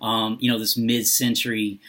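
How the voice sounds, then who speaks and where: A man talking casually and unscripted as a vlogger, halting often as he searches for his words. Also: fairly low pitch, level tone, bright, forceful tone. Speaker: book and reading vlogger. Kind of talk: casual unscripted vlog talk.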